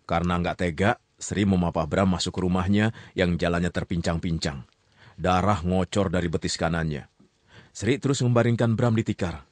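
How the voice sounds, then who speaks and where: A man's voice: sits low, moderate pace, dry recording. A man narrating a radio story as host, with chatty asides to a sidekick.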